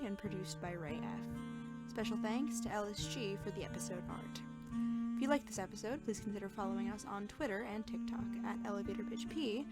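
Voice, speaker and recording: A woman announcer giving a scripted read of podcast closing credits. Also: medium pitch. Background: prominent guitar music.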